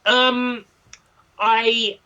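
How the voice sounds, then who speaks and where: A man's voice: very high voice, level tone, drawn-out words, sharp tone, clean tone, lo-fi sound. A man co-hosting a podcast in casual discussion, giving his review. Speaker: podcast co-host reviewing comics.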